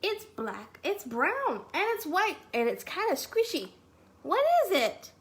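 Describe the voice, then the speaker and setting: A curious little boy mouse from a picture book, voiced by a woman, high and slow. He is eager and wide-eyed, stretching out his wondering questions with big swoops of pitch. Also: very animated, heavily acted.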